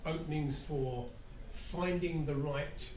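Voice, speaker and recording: A man's voice: on the high side, slow, darkish tone, distant mic. An older-sounding British man asking a rambling, chatty question from the audience at a panel. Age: middle-aged or older. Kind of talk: casual unscripted question at a panel. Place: convention panel room, recorded from a distance. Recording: echoey room, off-mic, background noise.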